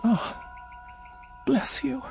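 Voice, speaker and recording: A woman's voice: very slow, a little mellow, soft background music. An actress playing an anxious, insistent elderly woman in a radio drama, speaking hesitantly and fretfully. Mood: anxious, grateful. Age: older.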